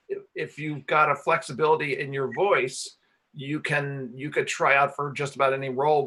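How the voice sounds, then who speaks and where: A man's voice: mid-range voice, pushed and bright. A man answering questions as a radio play writer and director at a live Q&A, explaining things in a hesitant, rambling way. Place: talk before a live audience.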